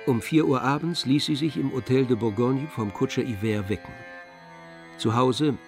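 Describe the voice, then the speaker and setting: A man's voice: medium pitch, normal speed. A man narrating a radio drama as a scripted literary storyteller. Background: music.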